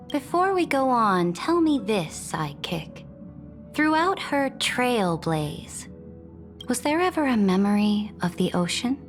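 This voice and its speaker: Haughty conquering empress, game drama, high voice, measured pace, regal and coldly defiant. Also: female voice. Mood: cold, shrewd. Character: proud, imperious female emperor and conqueror, coldly defiant. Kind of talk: dramatic video game voice acting. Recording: long hall reverb, music behind. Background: music.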